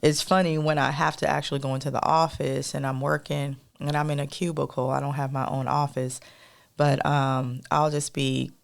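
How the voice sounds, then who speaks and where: Soft-voiced woman with a gravelly edge. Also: a bass voice, level tone, normal speed. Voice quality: soft melodic voice. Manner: rambling, addresses listeners as y'all, many fillers. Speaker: podcast co-host. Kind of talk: casual chatty monologue to listeners.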